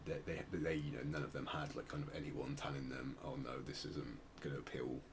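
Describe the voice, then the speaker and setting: Very deep-voiced man, hesitant podcast co-host. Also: fairly even intonation, fairly quick, background noise. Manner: hesitant, circling back and rephrasing as he explains. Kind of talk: casual podcast chat, explaining a musician's story.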